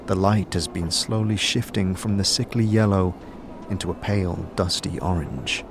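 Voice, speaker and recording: A man's voice: low-pitched, moderate pace, music in the background. A man narrating a fantasy audio drama in scripted second-person storytelling.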